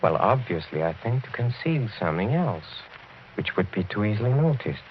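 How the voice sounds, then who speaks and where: An actor playing a suave, half-mad older count with silky, courteous menace in a 1940s radio drama style. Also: male voice, fairly low pitch, very animated, moderate pace. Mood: coldly menacing. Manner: silky, courteous, theatrically hesitant, chuckling. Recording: muffled, noisy vintage radio recording.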